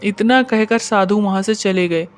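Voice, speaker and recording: A man's voice: high voice, measured pace, clear, resonant tone. A man narrating a scripted moral story as its storyteller.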